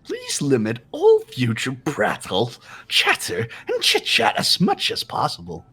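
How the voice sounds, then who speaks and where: A man's voice: on the high side, wildly swooping pitch, a little slow, vocal fry, bright, forceful tone, breathy edge, full character voice. A man voicing a mocking, officious robotic bear for a fan-dubbed video game, in a theatrical, mock-polite manner. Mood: officious, smug. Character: gleeful, mocking robotic bear overseeing a deadly trial. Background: game music.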